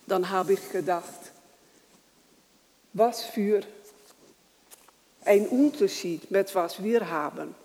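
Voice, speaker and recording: A worship leader who welcomes the congregation, prays and reads scripture in a slow, halting way, with small grammar slips. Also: medium pitch, a little mellow, in a large hall, poor audio. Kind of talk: church welcome, prayer and scripture reading.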